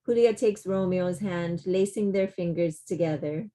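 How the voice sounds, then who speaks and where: A woman reading stage directions plainly and deliberately as narrator of a play table read. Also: on the low side, dark tone. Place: remote video call.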